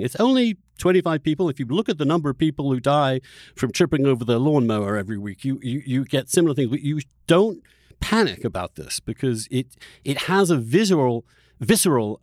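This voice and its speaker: A man's voice: mid-range voice, darkish tone, dry recording, clean studio sound. A middle-aged man hosting an opinionated interview conversation, arguing his points emphatically with the odd chuckle. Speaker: podcast host.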